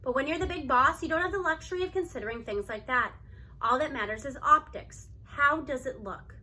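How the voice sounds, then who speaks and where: A woman reads a children's novel aloud. Her voice sits high and has a bright, forward edge. The delivery is expressive storybook narration. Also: brisk.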